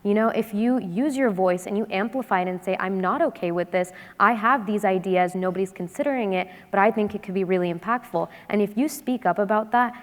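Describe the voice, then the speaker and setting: A woman's voice: medium pitch, fairly quick, hardly any pauses. A woman panelist speaks firmly and encouragingly in long, unbroken sentences. Her mid-range voice carries a long hall reverb from the stage of a large venue. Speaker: panelist in engineering.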